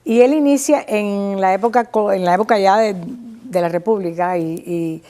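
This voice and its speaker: Cuban woman explaining in halting phrases. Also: mid-range voice, mellow. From small town in Cuba. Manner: didactic, halting, checks with listener using tag questions. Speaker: guest speaker on Cuban theatre history. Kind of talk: informal explanatory talk.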